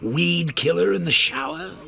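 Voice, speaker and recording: An actor playing a manic, sadistic male clown supervillain in a video game, with a gravelly, mocking, theatrical delivery. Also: sits high, very animated, measured pace, full character voice. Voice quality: gravelly, mocking, swooping sing-song delivery. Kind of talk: video game villain voice lines. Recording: tinny lo-fi game audio with music.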